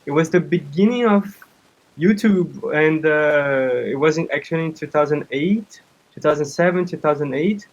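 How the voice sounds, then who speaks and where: A man in his thirties from Brazil with a Brazilian accent, a native Portuguese speaker, giving casual interview answers in a halting way. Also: fairly high pitch, muffled recording. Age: 30s. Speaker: interview guest, comic artist and game developer.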